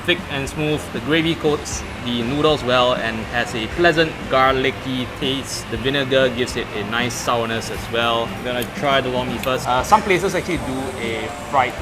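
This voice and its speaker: A man's voice: mid-range voice, normal speed, few pauses, background noise. A man in his thirties hosting a casual food vlog, upbeat and chatty as he explains dishes, recorded on a lapel mic outdoors with music underneath. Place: outdoors and at open-air food centres. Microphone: clip-on lapel mic.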